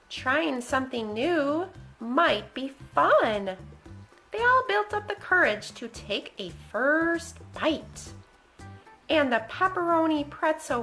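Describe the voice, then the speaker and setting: The woman at library story hour, singing out refrains in a high voice, slow enough for toddlers, music playing softly along. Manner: playful, exaggerated, sing-song refrains. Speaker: children's book reader. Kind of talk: animated picture-book read-aloud.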